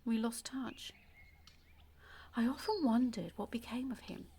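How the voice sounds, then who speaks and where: Actress playing bitter woman, quietly menacing, confiding near-whispers, audio drama monologue, faint birdsong and ambience behind. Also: on the high side, measured pace, slight background noise, close to an everyday voice. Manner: confiding, brooding, often dropping to near-whisper.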